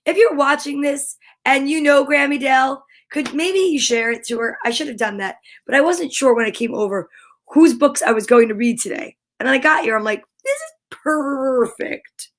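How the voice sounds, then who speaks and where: A woman's voice: sits high, moderate pace. A woman hosting a live children's story time, reading aloud and chatting to viewers in an upbeat, gushing, encouraging way.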